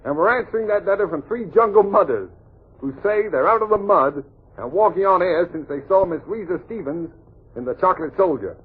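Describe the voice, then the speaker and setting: A man with a husky voice hosting a wartime variety show, announcing in 1940s radio style to servicemen. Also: high-pitched, animated, brisk. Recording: muffled vintage 1940s radio broadcast.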